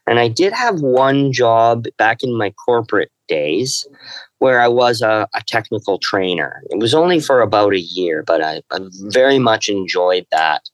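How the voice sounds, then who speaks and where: Low-voiced man, halting answers. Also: lively intonation, dry recording, rough recording. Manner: thoughtful, halting, many fillers. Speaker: university lecturer guest. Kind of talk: interview answers.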